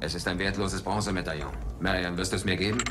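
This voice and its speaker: A man playing a rugged adventurer archaeologist in a dubbed film, with a low voice. He is self-assured, dry and skeptical, and pushes his point plainly. Background noise sits clearly in the old soundtrack. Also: steady tone, fast, close to an everyday voice. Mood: insistent, bargaining.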